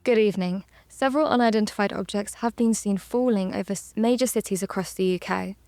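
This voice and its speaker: A woman's voice: medium pitch, a little fast, darkish tone, faint music behind, lightly acted. A young woman playing a composed evening news presenter reading scripted bulletins. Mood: composed, grave. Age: young adult.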